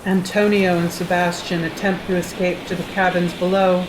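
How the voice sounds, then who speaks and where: A woman's voice: on the low side, little pitch movement, moderate pace, background noise. A woman narrator reading scripted stage directions for an audio drama. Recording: echoey, loud plucked-string music behind. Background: guitar music.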